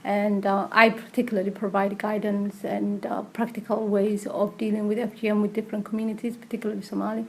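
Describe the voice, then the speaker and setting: A woman's voice: medium pitch, level tone, fairly quick, gravelly, hardly any pauses, a little mellow, low-quality recording. A woman who works as a community support worker, speaking hesitantly with frequent fillers and restarts in a recorded interview.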